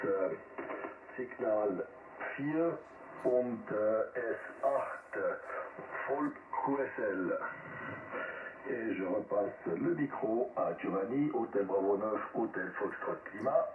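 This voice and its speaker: A man in an amateur radio net, carefully spelling out call signs and reports with pauses, over a radio transmission. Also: fairly low pitch. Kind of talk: deliberate ham radio signal report. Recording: narrowband shortwave radio, distant, echoey, faint hiss.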